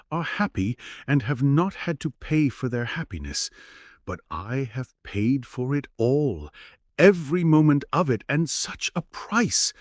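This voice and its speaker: A man's voice: medium pitch, no room sound, studio quality, pitched above the performer's own voice. A man voicing a sad, lonely, pleading wife in a theatrical, plaintive reading of a stage play.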